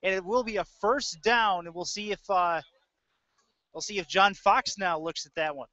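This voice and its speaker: Man, bright higher-pitched voice, live football play-by-play, clipped calls, casual asides, dull lo-fi audio. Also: moderate pace, dry recording. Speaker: play-by-play sports commentator. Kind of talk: live American football commentary. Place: indoor audio-only webcast.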